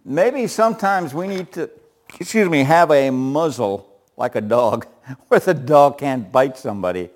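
A man leads a Bible study group in a loud, even-pitched voice. He chuckles often and keeps tossing questions back to the room. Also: a little slow.

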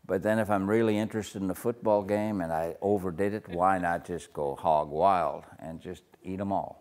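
Loud older man leading a Bible study. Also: low-pitched, moderate pace. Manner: loud, gets louder when excited. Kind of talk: leading a group discussion.

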